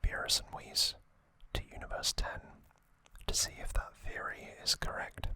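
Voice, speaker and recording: A man speaks entirely in a breathy whisper, like an ASMR host walking through a story. The delivery is very slow and deliberate, broken into short soft phrases. The whisper has a very bright, crisp edge.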